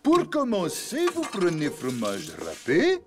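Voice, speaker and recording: A man's voice: high voice, huge pitch swings, takes it slowly, background noise, voice pushed up, heavily acted. A man voicing a pompous male TV chef with a foreign accent who speaks in broken, grand sentences in a cartoon. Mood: grandiose. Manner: grand, broken grammar, theatrical.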